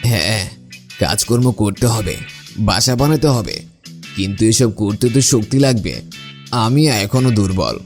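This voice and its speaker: A man's voice: on the low side, lively intonation, normal speed, long pauses, faint music behind. Man playing a plaintive, needy cartoon crow. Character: needy, idle male crow leaning on his friends. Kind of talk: scripted cartoon dialogue.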